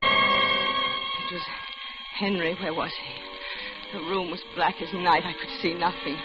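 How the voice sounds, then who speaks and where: A woman's voice: on the low side, huge pitch swings, slow, over loud music, exaggerated voice. An actress playing a frightened ordinary woman held captive in an old radio thriller, stammering and pleading. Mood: dazed dread. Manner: stammering, pleading, breathless dread. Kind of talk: 1940s radio thriller acting. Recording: dull, crackly vintage radio recording.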